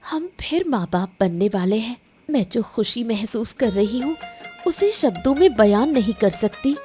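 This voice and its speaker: A woman voicing an emotional, calculating village wife in a Hindi cartoon moral story, with earnest, deliberate dramatic delivery. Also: on the high side, expressive, dark tone, breathy edge, faint music behind. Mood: tender joy.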